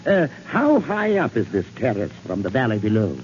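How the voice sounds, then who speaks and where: A man's voice: mid-range voice, big pitch swings, close to an everyday voice. A man playing pompous, wildly swooping genteel villain. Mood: imperious, smug. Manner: pompous, florid, drawn-out theatrical phrasing. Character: pompous, gouty villain, genteel, gleefully sinister older gentleman. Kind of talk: 1940s radio drama acting. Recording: muffled, hissy 1940s radio recording.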